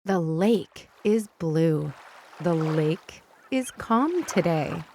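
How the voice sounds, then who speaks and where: Slow-paced, low-voiced woman reading to children. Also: lively intonation, warm tone, slight background noise. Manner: simple, deliberate wording, instructing young readers. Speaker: children's read-aloud host and narrator. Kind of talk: slow phonics storybook read-aloud for young children.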